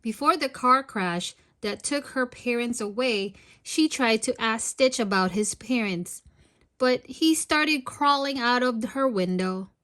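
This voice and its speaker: High-pitched woman reading a children's story. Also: a little slow, a bit bright. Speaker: storybook read-aloud narrator. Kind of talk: expressive children's storytime narration.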